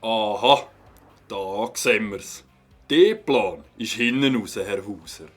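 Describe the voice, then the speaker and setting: An actor playing a firm, methodical police captain in a children's audio drama, speaking formally with a Swiss German accent. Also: male voice, on the low side, expressive, slow, faint music behind. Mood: triumphant, stern. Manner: formal, authoritative.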